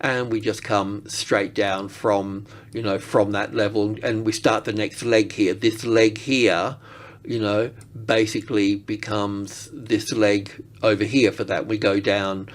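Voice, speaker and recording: A man giving unscripted market analysis as he works through charts, rambling and halting as he thinks aloud. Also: fairly low pitch, level tone.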